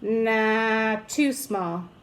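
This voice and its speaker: A woman's voice: fairly high pitch, drawn-out words. Woman playing a breezily confident lady doctor.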